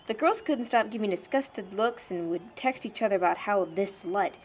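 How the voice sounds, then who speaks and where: A woman's voice: mid-range voice, normal speed, slight background noise, lightly acted. A young woman playing a blunt, sardonic teenage churchgoing girl in an amateur audio drama, frank and slangy. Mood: indignant.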